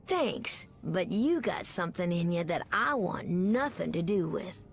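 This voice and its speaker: Tough flirty superheroine, American Southern drawl, creaky edge, animated melody, video game. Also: female voice, on the low side, a little slow, soft background music, heavily acted. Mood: wry. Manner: drawling, folksy, teasing. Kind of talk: video game character voice acting.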